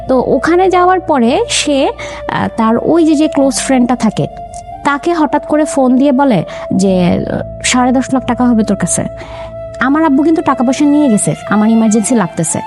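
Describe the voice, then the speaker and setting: A young woman with a higher-pitched voice, speaking as an interview guest. She tells a personal family story earnestly, slipping into her parents' words as she goes. A clear music bed plays beneath her voice. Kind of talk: recounting a life story.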